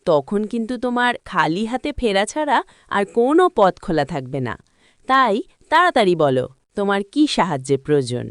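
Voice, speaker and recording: Cartoon fairy acted by a woman: swooping, lively delivery, impatient and teasing, dry sound. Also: mid-range voice, fairly quick, dry recording, natural voice. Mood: urgent, teasing. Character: brisk magical female fairy offering help. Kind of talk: scripted fairy-tale cartoon dialogue.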